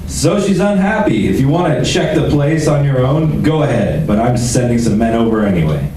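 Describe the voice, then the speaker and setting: A man's voice: medium pitch, lively intonation, normal speed, warm tone, close to an everyday voice. Man playing an exasperated police lieutenant, very echoey. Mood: exasperated, blustering. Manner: irritable, blustering, sarcastic. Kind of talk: amateur 1940s-style detective radio play acting. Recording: very echoey room, distant mic, clear noise.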